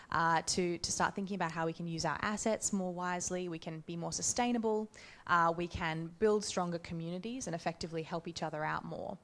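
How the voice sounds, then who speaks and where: Low, even-toned woman panellist. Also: a little hiss or hum. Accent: Australian. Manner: measured, thoughtful, with frequent restarts. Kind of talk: personal reflection on a conference panel. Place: conference hall.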